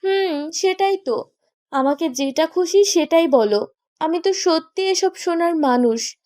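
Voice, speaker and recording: A woman's voice: very high voice, lively intonation, unhurried, mellow. A woman playing a petulant, stubborn young bride in a romantic audio story, with a sulky, reproachful way of talking. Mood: hurt, sulky.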